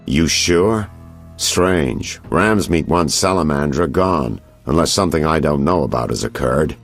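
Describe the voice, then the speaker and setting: A man playing a shrewd, patient investigator in a video game, gravelly and given to giving orders. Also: a bass voice, lively intonation, a little slow, exaggerated voice. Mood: probing. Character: shrewd, patient male investigator, confiding and directive. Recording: music behind.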